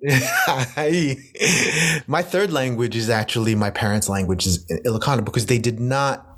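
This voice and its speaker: Reflective American man, halting and warm. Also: medium pitch, huge pitch swings, husky. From United States. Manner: reflective, halting with frequent restarts and laughs. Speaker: poet and guest. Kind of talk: casual conversational interview.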